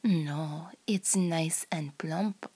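A woman voicing a seductive, threatening Russian female spy in an erotic audio roleplay, with a Russian accent and a breathy, often whispered delivery. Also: low-pitched, mellow, pitched below the performer's own voice, heavily acted. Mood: seductive, teasing. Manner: slow, teasing, domineering.

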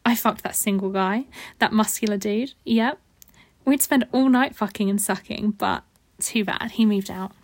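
A woman playing a seductive, needy lover in an intimate erotic audio roleplay, husky and breathy, often slipping into whispers, with a coaxing manner. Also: mid-range voice, no room sound, close to an everyday voice. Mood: sultry, confiding. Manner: slow, sultry, coaxing.

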